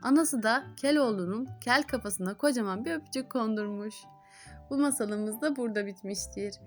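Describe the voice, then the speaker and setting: A woman reading fairy tales to children in an expressive storyteller's style. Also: a little high, normal speed, soft background music. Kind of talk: expressive scripted fairy-tale narration for children.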